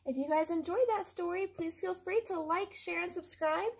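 A woman's voice: very high-pitched, normal speed, creaky. A woman in her thirties presenting a children's read-aloud story time, speaking indoors into a camera mic.

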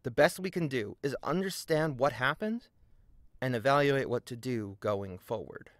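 A man narrating a scripted video essay in a deliberate, argumentative way, posing rhetorical questions. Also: medium pitch, a little hiss or hum.

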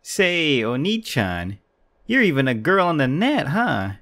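A man's voice: a little high, huge pitch swings, a little slow, a bit bright, pitched above the performer's own voice, full character voice. A man voicing a curious, teasing little sister in a manga dub.

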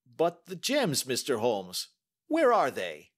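A man reading aloud and voicing a distraught middle-aged male banker who speaks slowly, pleading in anguish. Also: sits high, big pitch swings, voice pushed up, exaggerated voice.

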